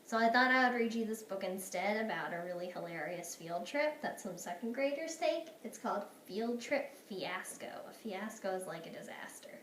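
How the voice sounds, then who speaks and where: A woman teacher doing an expressive picture-book read-aloud for her pupils. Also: mid-range voice, normal speed, hardly any pauses, distant mic. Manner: expressive, animated storytelling. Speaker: schoolteacher reading to pupils. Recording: roomy, echoey, noisy home-style recording.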